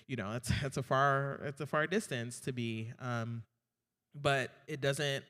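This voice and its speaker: A man's voice: mid-range voice, fairly even intonation, measured pace, bright, forceful tone, smooth. A man drag performer speaking thoughtfully on a panel at a university event. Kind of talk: panel discussion. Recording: reverberant hall.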